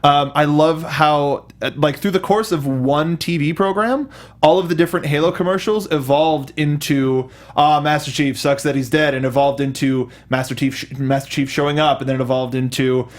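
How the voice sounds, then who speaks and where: A man with a moderately high voice co-hosts a relaxed-format review discussion. He talks informally and gives his opinions freely, in the manner of a casual chat between fans. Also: normal speed. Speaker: review show co-host. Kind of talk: casual chat reviewing video game films.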